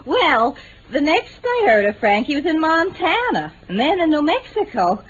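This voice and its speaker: An actress playing a candid, warm-hearted young American bride in mid-century radio drama, earnest and folksy. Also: female voice, high voice, very animated, normal speed, slight background noise. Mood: earnest, storytelling. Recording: muffled old radio recording.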